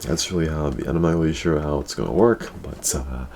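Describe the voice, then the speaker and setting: Man, very low voice, talk show host, casual gaming chat, crude slang, repeated catchphrases, some background noise. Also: quick pace, vocal fry, a little mellow.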